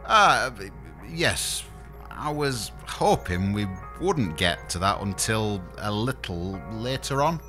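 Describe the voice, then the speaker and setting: A man's voice: lowish voice, big pitch swings, slow, sharp tone, faint music behind, full character voice. A man playing a bashful, apologetic space engineer who stammers awkwardly in a sci-fi audio comedy.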